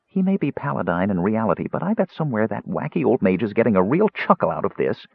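A man voicing an excitable, self-scolding little kender who has become a mouse, talking eagerly to himself in an audiobook story. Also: lowish voice, fast talker, vocal fry, slightly rough, no room sound, narrow, tinny audio, full character voice. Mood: gloomy, wry.

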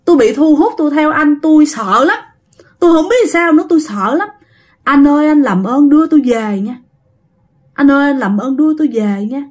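A woman's voice: sits high, animated, brisk, darkish tone, voice pushed up. A woman voicing the frightened ghost of an old man with a Northern Vietnamese accent, pleading politely and repeating himself. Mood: scared pleading.

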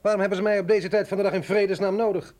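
An actor in a radio play, playing a wry, irritable civilian with a sardonic, questioning manner. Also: male voice, high voice, flat delivery, racing, a little mellow, no echo, close to an everyday voice. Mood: irritated. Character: wry, irritable civilian man summoned by the military. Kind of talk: radio drama acting. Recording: noisy radio drama recording.

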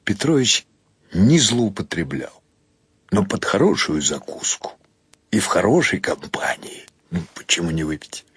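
A man narrating literary prose expressively and without hurry, with many pauses and shifting emphasis. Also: sits low, big pitch swings, a bit bright, husky, dry recording. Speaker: narrator reading prose for a radio audio play.

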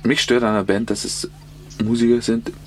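Man playing a gravelly, disdainful intellectual. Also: medium pitch, level tone, normal speed, faint music behind, natural voice. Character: disdainful intellectual man griping about musicians.

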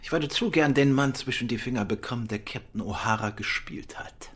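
An actor playing a shrewd, self-assured gentleman detective in a radio drama, with a polite, incisive manner. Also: medium pitch, brisk, breathy edge. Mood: assured, businesslike.

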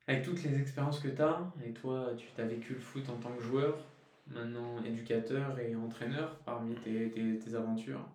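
A man's voice: mid-range voice, steady tone, normal speed, a little mellow, slight background noise. A man hosting a casual interview, asking long, rambling, tentative questions. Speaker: podcast host, interviewer. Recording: echoey, distant from the microphone.

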